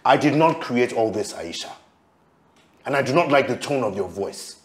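A man's voice: mid-range voice, expressive, normal speed, natural voice. An actor playing a jealous, accusing lover and aggrieved father in a screen drama, questioning in a confrontational way. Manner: confrontational, interrogating. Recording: roomy echo, faint background noise.

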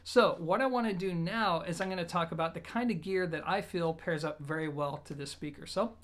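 A man's voice: a little high, fairly quick, fairly bright tone. A man, giving a conversational, emphatic product review to camera in an explanatory, informal way. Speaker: audio gear reviewer and host. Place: small indoor room, plain wall.

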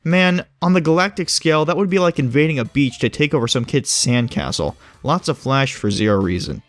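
A man's voice: a little high, animated, brisk, no room sound, soft background music, lightly acted. A man playing a smug, casual agent who explains things glibly in audio drama dialogue. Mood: breezy, amused. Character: breezy, smug male agent who knows hidden things.

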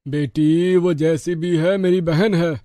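A man's voice: a little high, fairly even intonation, slow, warm tone, no echo, studio quality. A man voicing a humble, sorrowful father in a cartoon moral story, subdued and earnest. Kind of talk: animated Hindi moral story acting.

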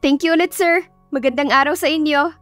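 A woman voicing a chatty, bashful young female designer in a story, animated and girlish, with a Filipino accent. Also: high-pitched, brisk, dry recording, soft background music, voice pushed up. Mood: chirpy.

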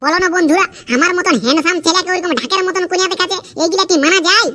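A man's voice: extremely high pitch, fairly even intonation, rapid-fire, pushed and bright. A man voicing a brash, crude, boastful young village bachelor in a cartoon comedy, bickering and cursing in a Purulia Bengali accent. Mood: indignant, mocking. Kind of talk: rustic cartoon comedy acting.

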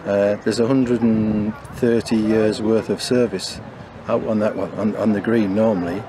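An older man talks in a low, unhurried voice, stopping often with fillers and restarts. He is interviewed outdoors beside a bowling green. Voices of other people can be heard in the background. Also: flat delivery, a crowd in the background. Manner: hesitant, many fillers and restarts. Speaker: bowling club committee member. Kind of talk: interview talk.

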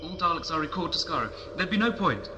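Male actor as defiant urgent scientist, fairly high voice, dull lo-fi recording, sci-fi audio drama. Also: lively intonation, quick pace, lightly acted. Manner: earnest, urgent. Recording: dull lo-fi sound, music behind.

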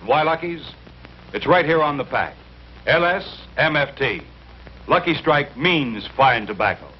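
A man's voice: fairly high pitch, expressive, measured pace, slightly rough. An American man reading a scripted 1950s commercial voice-over with an upbeat salesman's delivery. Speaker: commercial announcer. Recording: dull, hissy 1950s film soundtrack.

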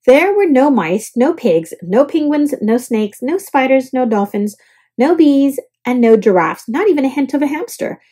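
A woman's voice: high-pitched, sing-song, moderate pace, mellow, breathy edge. A woman storyteller narrating a children's picture book aloud.

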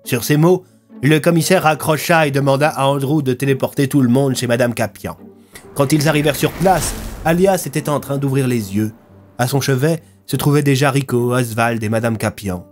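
Narrator for audio fiction: young man, mid-pitched, scripted dramatic storytelling, light music bed. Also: moderate pace. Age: young adult.

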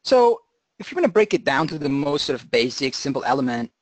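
A man presents an informal online lecture in a mid-pitched voice. He explains in a halting way, often repeating words as he goes. The recording is close and dry. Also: sing-song, no echo. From Portugal. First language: Portuguese. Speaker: guest presenter, conservation marketing researcher.

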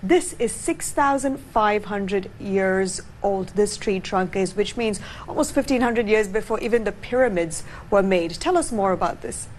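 A woman in her thirties presenting a morning show, with polished, bright delivery. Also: medium pitch, a little slow, few pauses, a little hiss or hum. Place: TV studio set.

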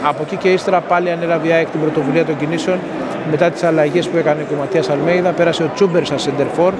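Man reporting outdoors over loud noise. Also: on the high side. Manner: steady, matter-of-fact with frequent hesitation fillers. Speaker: sports reporter. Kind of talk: postgame match report to camera. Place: outdoors near a stadium at night. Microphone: in-ear earphone mic, phone selfie framing. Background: loud urban outdoor ambience.

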